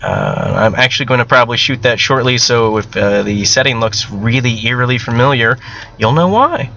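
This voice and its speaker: Bright-voiced man rambling casually to viewers. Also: mid-range voice, moderate pace, dull audio, a little hiss or hum. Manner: rambling, candid, self-deprecating; casually profane. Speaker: amateur novelist and video host. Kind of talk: casual, unscripted talk to viewers.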